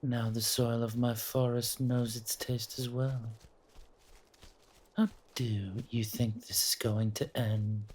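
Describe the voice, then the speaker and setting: Man voicing a husky, slow-talking, taunting forest monster. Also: medium pitch, little pitch movement, a little mellow. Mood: amused menace. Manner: slow, teasing, drawn-out menace. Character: taunting male forest monster hunting its prey, possessive, playful. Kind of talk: horror audio roleplay acting.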